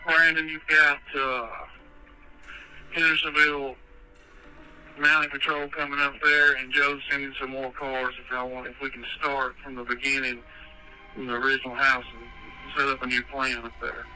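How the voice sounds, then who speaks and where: A man's voice: mid-range voice, little pitch movement, moderate pace, sharp tone, low-quality recording. A male law enforcement officer talking in terse chatter over a police two-way radio. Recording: harsh police radio transmission, music underneath.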